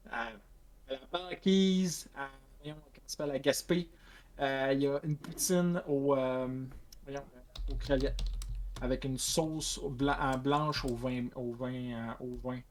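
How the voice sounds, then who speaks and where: Halting Québécois man searching for words. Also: medium pitch, steady tone. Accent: Québécois French. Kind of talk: casual chat on a stream.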